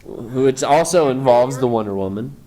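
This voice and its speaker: A man's voice: mid-range voice, lively intonation, normal speed, warm tone, rough recording. A man co-hosting a live stream in casual chat, laid-back and laughing, with lots of ums.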